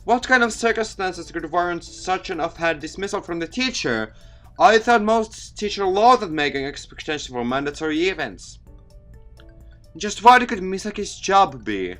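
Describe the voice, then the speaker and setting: A man giving an expressive read-aloud narration of a visual novel game as a let's-player. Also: sits high, normal speed, faint music behind.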